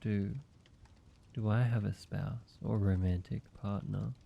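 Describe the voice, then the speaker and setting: A man's voice: fairly low pitch, fairly even intonation, creaky, highs cut off, a little hiss or hum. An actor playing an ancient, flirtatious male vampire in a roleplay audio drama, courteous and teasing with a playful air of menace. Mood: sympathetic, hesitant. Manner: playful mock-menacing, teasing, drawn-out delivery.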